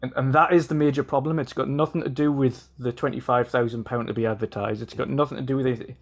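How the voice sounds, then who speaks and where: A man's voice: mid-range voice, steady tone, fast. A man with a Geordie accent, co-hosting a casual gaming podcast and arguing his point earnestly, with frequent ums and restarts. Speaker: podcast co-host.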